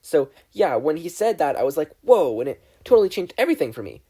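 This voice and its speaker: Man doing a bubbly high-pitched teenage girl. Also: big pitch swings, fast talker, warm tone, breathy edge, exaggerated voice. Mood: excited, gushing. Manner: chatty, slangy, gushing.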